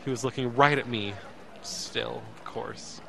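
An actor playing an anxious, emotional young man who narrates his ordeal in an audio drama, intense and halting. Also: mid-range voice, fairly even intonation, vocal fry, bright, forceful tone. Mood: resigned, tense. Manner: intense, halting, repeats words.

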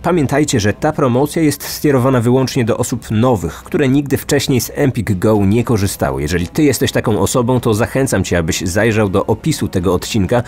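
A man hosts a true crime podcast in a scripted storytelling style. His voice sits at a middle pitch, with music playing underneath. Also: animated, fairly quick, few pauses, a little mellow. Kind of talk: scripted narration.

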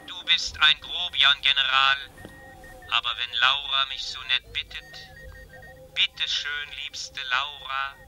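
An actor voicing a touchy, petulant but affectionate spaceship computer in a science-fiction audio drama. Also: male voice, medium pitch, fairly even intonation, slow pace, music in the background, lightly acted, low-quality recording. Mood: sulky, then sweet.

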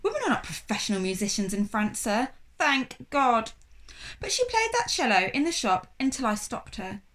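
Woman doing a snooty french shop assistant guy from a storybook, sneery and dismissive, voice a bit high. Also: sing-song, normal speed, a bit bright. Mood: disdainful. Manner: haughty, disdainful.

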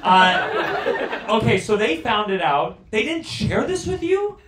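Animated man doing crowd work on stage. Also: high-pitched, slow, recorded from a distance, heavy background noise. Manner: animated, incredulous, laughing often. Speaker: stand-up comedian. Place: comedy club stage. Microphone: handheld mic. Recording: roomy club sound with crowd laughter.

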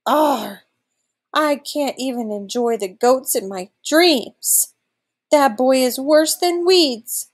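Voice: mid-range voice, sing-song, measured pace, a little mellow, slightly rough. Woman playing a grumbling old storybook wolf. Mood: grumpy.

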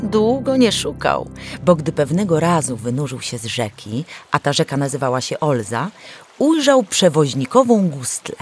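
A woman narrating a fairy tale in a theatrical, expressive storytelling manner. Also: sits low, moderate pace, husky. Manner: theatrical, animated. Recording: music behind the voice. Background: music.

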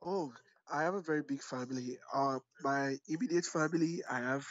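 A man's voice: medium pitch, a little fast, a bit bright, no room sound. A man in his late twenties with a Nigerian accent talks as an interview guest. His line sounds dull and dry, with no room sound at all. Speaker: interview guest, author and activist. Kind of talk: casual interview.

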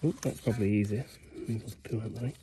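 A man's voice: fairly low pitch, quick pace, background noise. A young man in his twenties, chatting cheekily and thinking aloud as he strips an engine outdoors at night, recorded on a nearby camera. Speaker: vlogger mechanic. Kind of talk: casual workshop narration. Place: outdoors at night by an old digger.

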